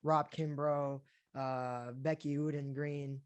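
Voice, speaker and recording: A young adult man, an actor being interviewed, who talks in a relaxed, chatty way with lots of fillers. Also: a little high, takes it slowly, clean tone. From Colombia, raised in Florida and Houston. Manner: relaxed and chatty, with many fillers and easy laughs. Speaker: actor guest. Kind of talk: casual interview talk.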